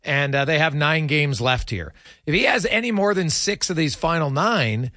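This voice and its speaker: A man's voice: on the high side, lively intonation, moderate pace, sharp tone, no echo, clean studio sound. A man hosting a sports talk show, speaking casually and analytically. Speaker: sports radio host.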